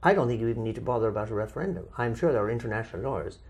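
A man from Ireland hosting a conversational discussion and answering viewer questions, with a measured, lively delivery and drawn-out emphasis. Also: mid-range voice.

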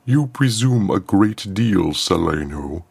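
A man playing a disapproving enthroned royal in a story, with a rumbling, weighty voice. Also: expressive, gravelly, a little mellow, husky, full character voice. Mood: disdainful. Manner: slow, weighty.

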